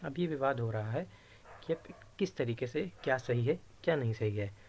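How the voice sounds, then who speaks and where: A man talks in an informal explainer style, like a presenter guiding viewers through a document. He repeats and rephrases key points as he goes, at a middling pitch. There is faint noise in the recording. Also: animated, normal speed, darkish tone. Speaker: YouTube presenter explaining recruitment rules. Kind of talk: informal screen-share explainer.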